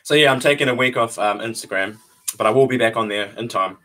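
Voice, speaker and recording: A man's voice: medium pitch, quick pace, fairly bright tone. A young man from New Zealand with a New Zealand accent, chatting casually on a livestream from a small bare room into a webcam, laid-back, chuckling and repeating his phrases. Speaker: vlogger hosting a livestream. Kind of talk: casual livestream chat answering viewers. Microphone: webcam at desk distance.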